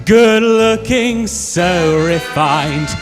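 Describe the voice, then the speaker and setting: A man's voice: high voice, lively intonation, very slow, full character voice. A man playing a flirtatious would-be diva, singing a cabaret show tune in a pantomime. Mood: showy. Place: theatre stage, live show. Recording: long hall reverb, music behind.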